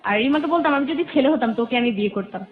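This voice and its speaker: A woman's voice: high-pitched, expressive, fast. A young woman with a Bangladeshi accent telling a personal story casually as a radio guest, acting out what people said. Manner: animatedly quotes friends' dialogue. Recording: thin, phone-like band-limited sound.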